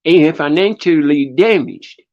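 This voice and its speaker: A man's voice: a little high, measured pace, darkish tone. Male panel guest, casual discussion, muffled lo-fi sound with no room echo.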